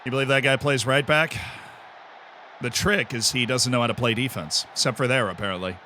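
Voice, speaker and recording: American man, game streamer, forceful bright tone, jokey, loud outbursts. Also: medium pitch, a little fast, a little hiss or hum. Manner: excitable, shouts at goals, jokey asides. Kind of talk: live game commentary.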